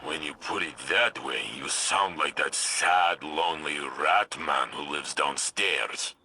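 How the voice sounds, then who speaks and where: An actor playing a proud, teasing, blunt old-soldier warrior in a video game cutscene, with an emphatic, halting delivery. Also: male voice, very deep voice, sharp tone, husky, heavily acted. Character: gruff, teasing, proud veteran male warrior, jovially blunt.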